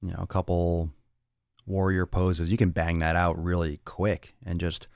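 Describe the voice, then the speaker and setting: A man's voice: sits low, monotone, a little slow, darkish tone, dry recording, studio quality. A man hosting a fitness podcast, answering listener chat in a blunt, profane, rambling way with lots of restarts. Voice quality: raspy on some days. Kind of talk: casual solo talk answering listener chat.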